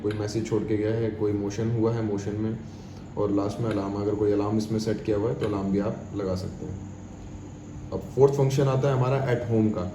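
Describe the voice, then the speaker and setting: Low-voiced Indian man in an echoey room. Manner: explanatory, repetitive, mixes English terms into speech. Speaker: product demonstrator. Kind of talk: informal step-by-step tutorial. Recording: echoey room with clear background noise.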